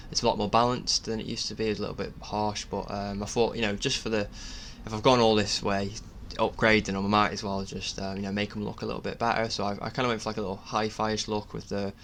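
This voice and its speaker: A man narrating his own DIY project in a casual voice-over, speaking in a halting, self-effacing way. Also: fairly low pitch, a bit bright. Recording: clear background noise.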